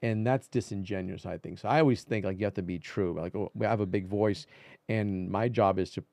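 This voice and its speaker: A man's voice: lowish voice, steady tone, a little fast, creaky, darkish tone, no room sound. A man speaking as a veteran reporter and interview guest, in casual conversational talk full of repetition and asides.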